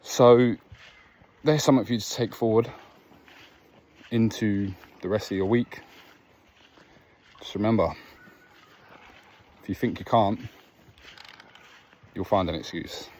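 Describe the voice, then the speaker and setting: A man's voice: lowish voice, slow, pauses often, a little mellow, slight background noise. A man sharing earnest, reflective stories on an evening walk outdoors, talking casually with restarts. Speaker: vlogger. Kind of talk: casual motivational talk while walking. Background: faint outdoor ambience, a dog.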